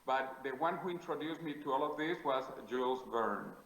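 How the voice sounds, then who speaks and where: A man's voice: medium pitch, a bit bright. Spanish-accented man in an echoey room. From South America. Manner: measured, declarative, frequent "uh" pauses. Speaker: guest, futurist. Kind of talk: interview answers.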